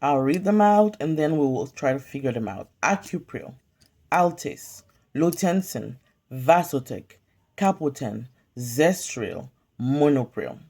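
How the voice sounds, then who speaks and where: Casting: calm woman study tutor, slow deliberate delivery, mellow tone, home phone recording. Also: a bass voice, gravelly, husky. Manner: calm, measured, question-and-pause drilling. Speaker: pharmacy tech study tutor. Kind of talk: slow flashcard-style quiz review. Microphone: phone camera filming whiteboard.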